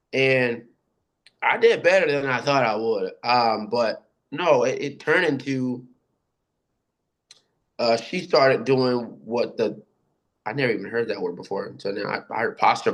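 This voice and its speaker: Man haltingly telling a personal story. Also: fairly bright tone. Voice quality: deep voice. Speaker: participant sharing personal experiences. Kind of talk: casual personal storytelling in a group discussion.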